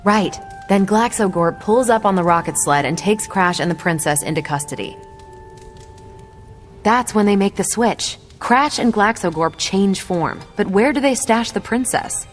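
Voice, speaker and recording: A woman's voice: medium pitch, lively intonation, measured pace, soft background music, close to an everyday voice. A woman acting as a confident superhero in a video game cutscene, with an assured, explanatory and slightly teasing manner. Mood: assured, knowing.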